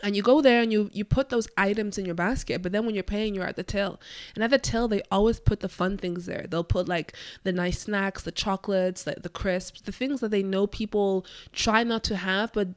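A woman's voice: medium pitch, normal speed, smooth, no room sound. A woman hosting her own podcast, talking through ideas in a conversational, explanatory way, her voice nasal and congested from a cold. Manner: conversational, explanatory, thinking aloud. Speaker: podcast host. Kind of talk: solo podcast monologue.

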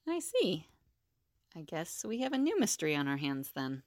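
An actress playing a calm, serious, sensible seer in an audio drama, measured and earnest. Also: female voice, low voice, no room sound, studio quality.

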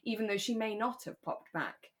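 A British woman co-hosting a podcast in a relaxed, chatty and reflective discussion of a radio drama. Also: mid-range voice, moderate pace. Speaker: podcast co-host.

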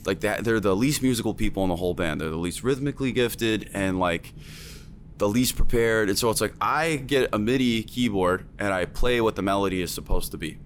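Opinionated man with a lowish voice. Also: brisk, a little hiss or hum. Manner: emphatic, opinionated, lots of fillers. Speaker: music producer and teacher. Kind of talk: casual podcast chat.

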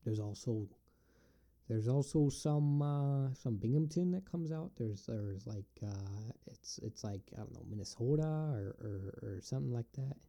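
A man's voice: mid-range voice, lively intonation, vocal fry, warm tone. A man with an upstate New York accent, musing aloud in a casual, rambling solo video and often stopping to restart his thoughts. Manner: rambling, halting, thinks aloud. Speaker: vlogger answering questions.

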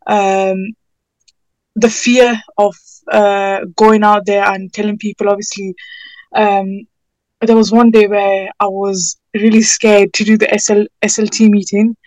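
A woman's voice: mid-range voice, little pitch movement, a little slow, a little mellow, dry recording, poor audio. A teenage British girl answers interview questions in an earnest, informal way. Her intonation stays fairly level and she sometimes hesitates. The sound is dry, with little room on it. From United Kingdom. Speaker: interviewee, student campaigner.

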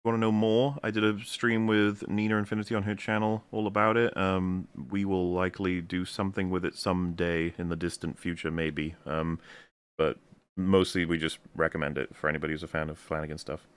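A man's voice: deep voice, flat delivery, a little fast, smooth, dry recording. A man hosting a casual stream chat, rambling loosely through viewer messages and swearing now and then. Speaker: host reading viewer messages.

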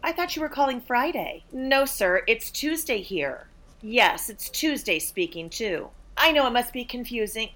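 A woman's voice: a little high, lively intonation, measured pace, a bit bright. A woman playing an aloof, blunt saleswoman in a comedic audio play, with a dry, dismissive manner. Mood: brisk, polite. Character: aloof, blunt, sardonic female office saleswoman named Tuesday.

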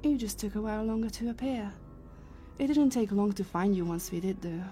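A woman playing a composed, authoritative leader of time travelers in a science fiction audio drama, speaking in measured explanations. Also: medium pitch, warm tone, soft background music, natural voice.

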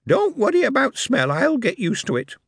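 A man voicing a cheeky, sarcastic male goblin in a shrill, cackling voice. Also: huge pitch swings, normal speed, creaky, breathy edge, dry recording, clean studio sound, heavily acted.

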